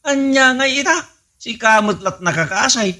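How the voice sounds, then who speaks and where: A man's voice: very high voice, expressive, moderate pace, bright, forceful tone, exaggerated voice. A man voicing an exasperated husband who scolds his wife. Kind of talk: comedy radio drama acting.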